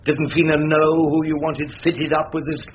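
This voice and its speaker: An actor playing a sardonic older English barrister in a radio drama, with a dry, ironic, theatrical way of talking. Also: male voice, on the high side, little pitch movement, normal speed, highs cut off, a little hiss or hum, natural voice. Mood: combative. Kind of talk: radio drama acting and narration.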